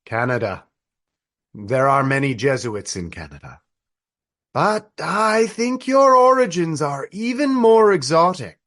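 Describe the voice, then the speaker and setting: A man playing an old friar in a radio play, speaking in formal, ornate, deliberate phrases with gallant fondness. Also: fairly high pitch, very animated, a bit bright. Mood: curious, probing. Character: courtly old Trappist friar, fond, wry, gallant storyteller.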